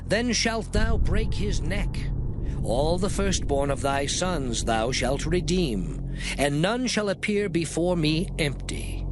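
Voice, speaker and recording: Moses: stern prophet, slow commanding delivery, highish voice. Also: male voice. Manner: solemn, commanding declamation. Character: Moses, stern male prophet giving the law. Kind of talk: dramatized scripture reading. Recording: studio audio drama with loud music behind.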